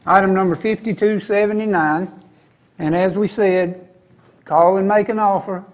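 A high-voiced man with a Southern American accent hosts a live shopping show. He chats in a folksy, unhurried sales style, wandering a little as he goes. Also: fairly even intonation. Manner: folksy, casual, rambling. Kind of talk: chatty live sales pitch.

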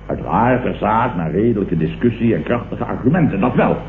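Man acting a pompous, blustering businessman, wildly animated. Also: on the low side, a little fast, lightly acted. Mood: pompous, self-righteous. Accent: Dutch, from the Netherlands. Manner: pompous, indignant, declamatory, with repeated sputtering interjections. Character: blustering, pompous middle-aged businessman, opportunistically turned leftist. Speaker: radio comedy actor. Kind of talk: 1960s-70s radio comedy acting. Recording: tinny, echoey, hissy old radio recording.

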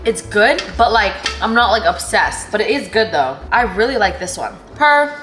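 Young woman, mid-pitched voice, casual vlog chat, candid slangy swearing, clear background music. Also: animated, moderate pace. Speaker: vlogger. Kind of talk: casual chatty vlog to camera.

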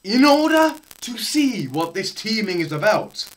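A young man giving a dramatised science lecture, theatrical, swinging between shouts and hushed murmurs, with pauses and repetition for effect. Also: sits high, big pitch swings. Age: young adult. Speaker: presenter. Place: small bare indoor room.

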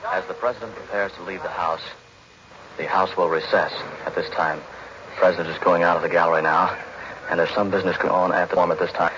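A man with an American accent giving live 1940s radio news commentary as an announcer, formal and measured, on an old broadcast recording. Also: deep voice, steady tone, muffled recording, very noisy.

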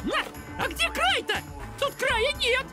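A tiny excitable seabird from an animated series. It squeaks at an extremely high pitch that jumps around wildly, always flustered. Loud music plays underneath. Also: female voice, slow, full character voice. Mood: agitated. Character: fussy, excitable little seabird.

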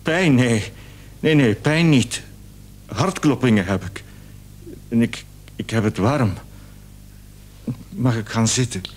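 An actor playing an anxious, hesitant patient who pleads and repeats himself in a Dutch radio play. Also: male voice, mid-range voice, slow pace, long pauses. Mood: hesitant, uneasy. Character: anxious, hesitant male patient with swollen face.

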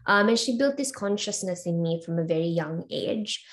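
A woman's voice: on the low side, animated, moderate pace. A woman in her twenties from Sri Lanka, a guest on a video call interview, answering thoughtfully with many ums and uhs. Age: 20s. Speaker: interview guest, learning experience designer. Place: home room with bookshelves. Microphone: video call webcam.

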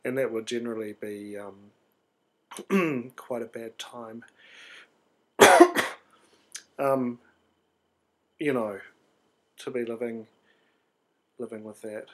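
A New man in his thirties talks through a video diary at a mid pitch. He sounds tired and drowsy, and his speech halts on ums and false starts as he thinks aloud. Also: steady tone, slow pace, long pauses. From New Zealand. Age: 30s. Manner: hesitant, many ums and restarts. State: sleepy. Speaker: artist video diarist. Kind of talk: rambling video diary monologue.

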